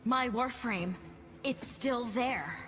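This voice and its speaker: A stubborn young game pilot, youthful and earnest, speaking slowly in a bright, high voice. Long reverb trails the halting words. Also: female voice, slight background noise. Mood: stubborn resolve. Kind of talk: video game voice acting. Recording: long hall reverb, faint music.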